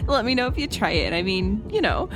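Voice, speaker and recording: A woman's voice: a little high, sing-song, brisk, music in the background. A woman playing a friendly, joking party host in a casual roleplay audio, chatty, giggly and informal.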